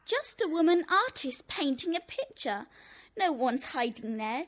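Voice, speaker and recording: A woman's voice: high voice, sing-song, a little slow, a bit bright, heavily acted. A woman playing a cheeky, stubborn young girl in a children's audio drama, teasing and smug with emphatic delivery. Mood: defiant, smug.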